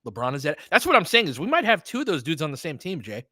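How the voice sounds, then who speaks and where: American male fan-stream sports host, bright forward voice, very dry sound, informal and opinionated play-by-play. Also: on the high side, wildly swooping pitch, quick pace, no room sound. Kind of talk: basketball play-by-play mixed with casual fan chat.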